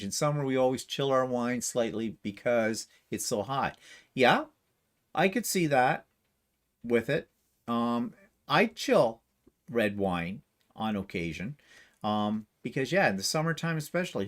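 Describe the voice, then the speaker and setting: A man co-hosting a casual livestream, reading viewer comments aloud and answering with chuckling asides. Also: medium pitch. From Canada. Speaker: livestream co-host.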